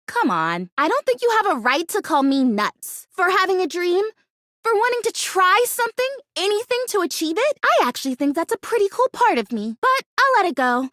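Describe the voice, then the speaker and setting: A woman's voice: very high-pitched, big pitch swings, normal speed, fairly bright tone, no room sound, clean studio sound, exaggerated voice. A woman voicing a bubbly, naive, stubbornly hopeful fake houseplant in a video game, chatty and dramatic in her delivery. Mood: defiant, pleading. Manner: perky, dramatic, emphatic, chatty. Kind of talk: animated video game character acting.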